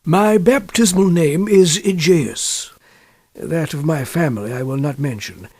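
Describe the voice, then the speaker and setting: A man reading a gothic tale as a gloomy, brooding nobleman narrator, in solemn, measured phrases with deliberate pauses. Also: on the high side, a little mellow, dry recording, clean studio sound. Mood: grave, proud. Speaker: audiobook reader.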